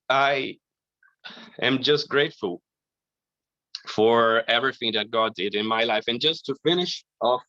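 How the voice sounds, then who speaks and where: A man shares his faith story slowly and haltingly, with a bright, forward tone. His voice sounds close and dry but a little dull and lo-fi. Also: mid-range voice, dry recording. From Brazil. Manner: slow, halting, earnest, with frequent "you know". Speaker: conference speaker giving testimony. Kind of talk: personal faith testimony.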